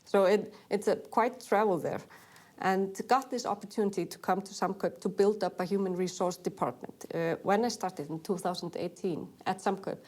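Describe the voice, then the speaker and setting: A woman's voice: mid-range voice, normal speed, a little mellow. A middle-aged woman from Iceland with an Icelandic accent, speaking English as an interview guest, friendly and hesitant with many fillers. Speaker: retail executive guest. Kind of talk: in-person interview.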